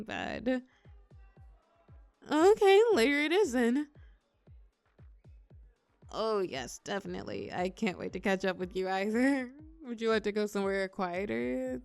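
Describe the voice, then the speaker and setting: A woman plays a shy young wallflower in an intimate audio roleplay. Her pitch swings widely while she talks at an unhurried pace, halting bashfully and teasing as she goes. There is a little creak in the voice, and music sits behind it. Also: exaggerated voice. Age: young adult. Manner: bashful, halting, giggly, expressive. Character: shy, awkward wallflower birthday girl, young adult, warmly teasing. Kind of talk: scripted audio roleplay, natural intimate conversational acting.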